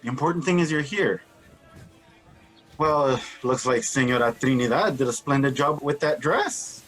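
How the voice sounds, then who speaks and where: An actor playing a genial, eager-to-please wealthy father and host in a radio drama, courteous and placating, with a husky voice. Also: medium pitch, expressive, normal speed, fairly bright tone. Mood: genial, soothing.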